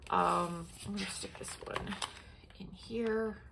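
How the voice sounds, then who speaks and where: A woman's voice: mid-range voice, fairly even intonation, slow, vocal fry, very noisy. Congested woman rambling about her planners. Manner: rambling, many fillers and restarts. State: congested, sniffling. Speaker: planner vlogger. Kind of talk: casual show-and-tell chat.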